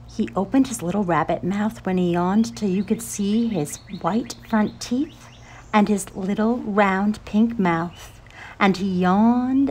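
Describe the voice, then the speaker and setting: A middle-aged woman reading a children's picture book expressively, with drawn-out, dramatic phrasing. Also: medium pitch, darkish tone, slightly rough. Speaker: storyteller. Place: outdoors on a paved porch.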